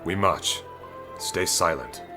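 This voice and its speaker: A stalwart army captain, played by a man, very low and unhurried. He is gruff and commanding, giving terse orders in a fantasy audio drama with music behind him. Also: expressive. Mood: grave, commanding. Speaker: voice actor in audio roleplay.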